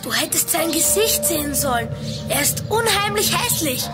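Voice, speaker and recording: A woman playing an impulsive, daring, excitable young boy in a children's adventure audio drama. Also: extremely high pitch, normal speed, over loud music. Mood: indignant. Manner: excitable, exclaiming.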